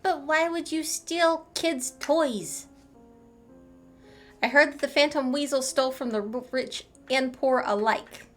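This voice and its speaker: A woman's voice: sits high, measured pace, soft background music. A woman hosting a game playthrough with casual, playful, snarky commentary. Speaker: gaming channel host.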